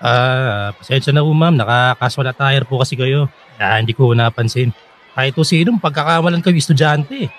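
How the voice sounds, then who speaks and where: A man's voice: medium pitch, normal speed, lightly acted. A Filipino man acting a strict but polite school security guard in a radio drama. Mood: apologetic.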